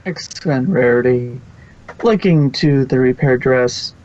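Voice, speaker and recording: A male amateur narrator reads a scripted story at a medium pitch. The recording is dull and lo-fi, like a home setup. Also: takes it slowly, darkish tone, a little hiss or hum.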